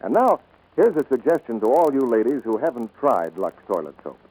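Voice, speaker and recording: A man's voice: mid-range voice, very animated, brisk, dark tone, rough recording. A man announcing and reading scripted sponsor messages in the style of 1940s radio. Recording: vintage 1940s radio recording.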